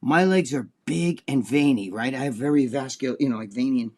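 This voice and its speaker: An older man with a New Jersey accent, telling a story on a casual podcast. He swears often and acts out quoted lines in a raised voice. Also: brisk. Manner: animated, profane, acts out quotes in a high voice. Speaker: podcast co-host.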